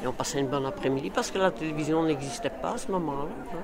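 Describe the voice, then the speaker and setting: Older chatty woman, slightly low voice. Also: fast talker, crowd noise. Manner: chatty, finishes her friend's sentences. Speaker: interviewee. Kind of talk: casual interview.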